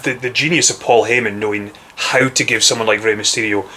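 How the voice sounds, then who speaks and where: A young man with a strong Scottish accent, a guest in a casual chat, talking in a measured, knowledgeable way. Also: lowish voice, fairly even intonation, bright, forceful tone, a little hiss or hum. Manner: knowledgeable, measured, precise phrasing.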